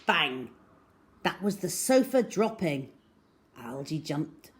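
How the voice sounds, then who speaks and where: Female children's storyteller: unhurried, slightly low voice, dramatic and animated read. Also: very noisy. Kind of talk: expressive scripted story reading for children.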